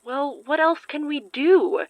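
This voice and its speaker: The voice is a frayed, worried young woman in a fiction podcast. She is sarcastic and exasperated, with a sharp tongue. The recording is very dry and a little dull. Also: sits high, animated, slow pace, no echo, full character voice. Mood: sarcastic, stressed. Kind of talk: audio drama acting.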